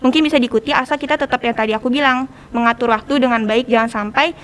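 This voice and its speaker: A woman's voice: a little high, fairly even intonation, fast talker. A teenage young woman with a Jakarta Indonesian accent, explaining things colloquially as a guest in a casual interview. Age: teens. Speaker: podcast guest, student organization leader. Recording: noticeable background noise.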